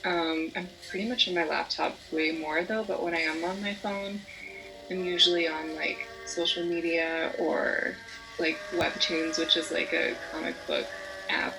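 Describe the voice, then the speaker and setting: A woman's voice: fairly low pitch, fairly even intonation, a little slow, background noise. A twenty-year-old woman studying psychology, answering in an easygoing, matter-of-fact way. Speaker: psychology student. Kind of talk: casual interview answers.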